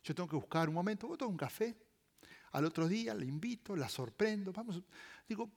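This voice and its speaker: A man preaching a sermon as a pastor in Latin American Spanish with voseo, emphatic and deliberate, repeating key phrases and swinging between loud and hushed delivery. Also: high-pitched, animated.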